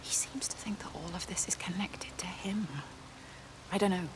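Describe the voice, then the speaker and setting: A woman's voice: mid-range voice, fairly even intonation, moderate pace, whispered, natural voice. An actress playing a stubborn, caring, down-to-earth village woman in a naturalistic video game drama. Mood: subdued, resolute.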